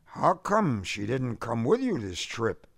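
Guy reading as patient middle-aged family man in a story, practical, lively pitch, dry close recording. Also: slow pace, gravelly, no room sound, heavily acted. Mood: questioning. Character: mild middle-aged family man, patient, questioning older-style brother. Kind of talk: audiobook character dialogue.

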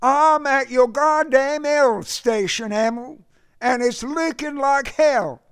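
A man voicing a brash, hot-tempered Southern uncle in an audiobook story, loud, complaining, folksy and boastful. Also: high-pitched, big pitch swings, slow pace, vocal fry, dry recording, clean studio sound, pitched above the performer's own voice, full character voice. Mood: distressed, irritated. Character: brash hot-tempered Southern uncle, former boxer and tall-tale teller. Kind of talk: audiobook character voice in a period story.

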